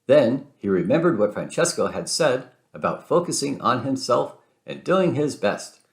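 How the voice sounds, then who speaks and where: A man narrating a children's storybook aloud in an expressive read-aloud style. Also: mid-range voice, normal speed, gravelly. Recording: roomy, echoing sound.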